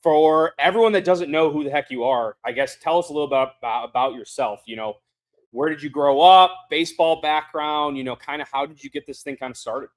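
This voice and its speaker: A man's voice: fairly high pitch, brisk. A man hosting a casual podcast interview, laid-back and heavy on fillers. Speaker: podcast host.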